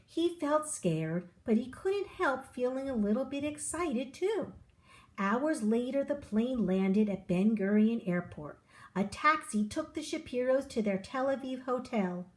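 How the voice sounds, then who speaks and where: Slow-paced woman reading a children's story. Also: high-pitched. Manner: expressive storyteller delivery with voiced dialogue. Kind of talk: children's picture book read-aloud.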